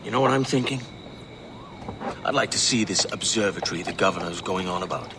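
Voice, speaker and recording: An actor playing a brash, greedy, roguish pirate in a video game, irreverent and colloquial. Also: male voice, deep voice, brisk, natural voice. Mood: scheming, eager. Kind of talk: video game cutscene acting. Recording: noisy ambience behind the voice.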